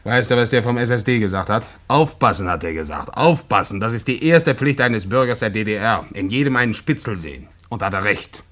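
An actor playing a suspicious, dogmatic pub landlord in a German radio drama, preachy and self-righteous. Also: male voice, on the low side, lively intonation, fairly quick, few pauses, fairly bright tone.

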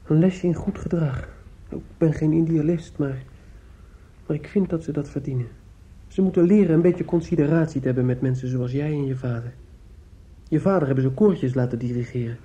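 An actor in a Dutch radio play playing an earnest young suitor, protective and quietly vengeful, probing and insistent with some hesitation. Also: male voice, fairly high pitch, brisk, slightly rough. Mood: bitter, vengeful. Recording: muffled, lo-fi old radio recording.

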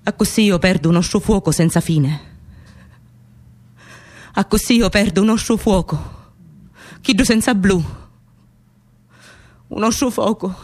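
A woman's voice: mid-range voice, a little slow, pauses often, a little mellow, lightly acted. An actress playing an anguished, defiant working-class Sicilian woman in a radio theatre piece, in incantatory, repetitive dramatic monologue with a Sicilian accent. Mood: desperate, insistent. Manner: incantatory, repeating phrases with intensity.

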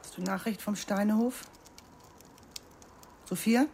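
An older farmwoman in a radio drama. Her voice is soft-toned and mellow, yet she is solemn, anxious and reproachful. Ambient noise is audible behind her. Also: medium pitch, measured pace, vocal fry. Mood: probing. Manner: solemn, reproachful, formal phrasing. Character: anxious, reproving older woman of farm household. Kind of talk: German radio drama acting.

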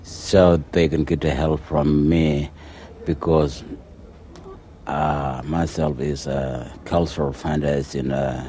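A man giving hesitant interview answers, pausing often with fillers. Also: very deep voice, little pitch movement, mellow. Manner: hesitant, many pauses and fillers. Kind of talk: halting interview answers in English.

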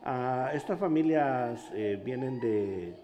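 A man from Honduras preaching in Spanish with a Honduran accent, pausing for an interpreter and telling stories with animated humor. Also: medium pitch, highs cut off, slight background noise. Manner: animated storytelling, laughs at himself. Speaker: pastor. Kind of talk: bilingual sermon with interpreter.

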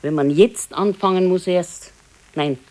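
An actress playing a resigned, wistful elderly wife with a Bavarian accent in a naturalistic radio play, speaking plainly and haltingly. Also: female voice, lowish voice, a little mellow, natural voice. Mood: resigned regret. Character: wistful, resigned old pensioner's wife, thrifty.

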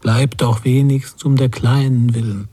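A man's voice: mid-range voice, steady tone, normal speed, warm tone, breathy edge, natural voice. A man playing a bitter, guilt-ridden middle-aged father in a radio drama, thinking aloud in terse fragments. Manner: terse, fragmented inner monologue. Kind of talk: German radio drama interior narration.

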